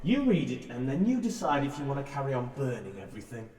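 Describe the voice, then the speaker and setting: A man's voice: mid-range voice, very animated, fast talker, a little mellow, natural voice. A man playing a wry, bookish reluctant hero in an audio drama, sarcastic and exasperated. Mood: earnest. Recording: echoey hall reverb.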